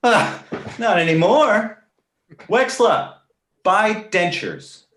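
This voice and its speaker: A man voicing a cheeky, crude talking baby boy in an animated comedy table read, sarcastic and blunt. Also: sits high, extremely slow, exaggerated voice. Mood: indignant. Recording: roomy echo.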